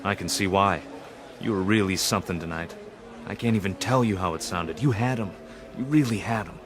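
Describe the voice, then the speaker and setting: An actor playing a devoted, admiring right-hand man in a radio drama, earnest and flattering. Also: low voice, brisk, lightly acted. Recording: music behind.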